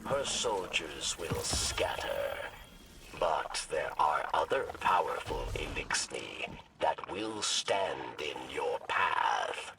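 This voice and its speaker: A deep, creaky old alien, solemn and cautious. He guides with very slow, halting words, set back from the mic beneath loud game music. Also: sing-song, very noisy, full character voice. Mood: grave explaining. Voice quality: gravelly, husky. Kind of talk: video game character dialogue. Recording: distant voice under loud music.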